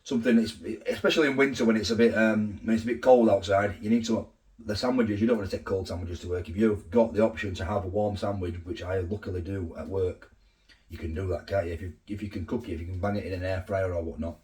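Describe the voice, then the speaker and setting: Bloke, hoarse throat, lowish voice, rambly food vlog chat in his kitchen. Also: fairly even intonation, quick pace, darkish tone. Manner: rambling, chatty, lots of fillers and asides. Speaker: food vlogger. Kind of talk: casual chat to camera. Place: home kitchen.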